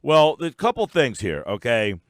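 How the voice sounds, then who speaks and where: A man's voice: mid-range voice, wildly swooping pitch, moderate pace, no room sound, clean studio sound. An American man hosting a sports talk radio show in a casual, conversational style.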